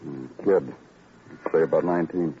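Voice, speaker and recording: An actor playing a world-weary, brooding police detective, narrating in a poetic, deadpan way in a noir radio drama. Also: male voice, a bass voice, moderate pace, a little hiss or hum. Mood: terse, pensive. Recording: dull old radio recording, loud music.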